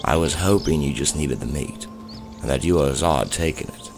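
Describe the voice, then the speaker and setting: Man acting gruff impatient trapper, low creaky voice, dry deliberate delivery, fantasy audio drama, music underneath. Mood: dry, grave. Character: Vipin, gruff impatient male forest trapper.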